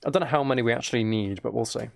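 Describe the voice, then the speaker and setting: A man streaming a game, chatting casually in a relaxed ASMR style, rambling along in a self-deprecating way. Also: medium pitch, very fast, gravelly, a little hiss or hum. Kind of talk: casual livestream chat while gaming, relaxed ASMR.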